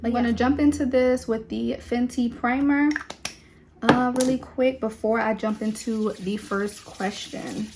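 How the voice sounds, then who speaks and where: Chatty young woman, relaxed and easygoing. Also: fairly high pitch, measured pace. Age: 20s. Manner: chatty and relaxed, with many fillers. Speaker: beauty vlogger. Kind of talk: casual chat while doing makeup.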